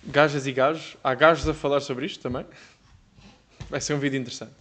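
A man's voice: medium pitch, steady tone, brisk, a bit bright. A young man with a European Portuguese accent, casually chatting and joking while reacting to a video on a livestream. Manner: laid-back, laughing, joking with chat. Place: streaming room indoors.

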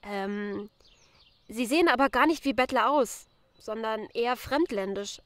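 Woman as a flustered, high-voiced lady's maid. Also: unhurried, dry recording, close to an everyday voice. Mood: earnest, hesitant. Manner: anxious, earnest, respectful. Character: flustered, deferential young female lady's maid. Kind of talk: audio drama character reading.

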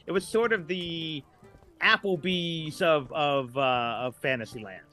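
Bright-voiced man chatting as podcast guest. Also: fairly high pitch, unhurried, soft background music. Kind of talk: casual chat with playful improvising.

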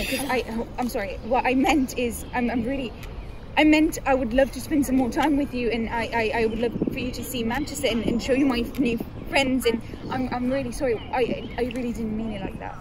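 A woman's voice: a little high, steady tone, fairly quick, close to an everyday voice. A woman playing an awkward, apologetic young woman in naturalistic drama, stammering and repeating herself. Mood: apologetic, uneasy. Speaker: actor in an immersive theatre audio piece. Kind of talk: naturalistic dialogue acting. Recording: noisy outdoor background with traffic.

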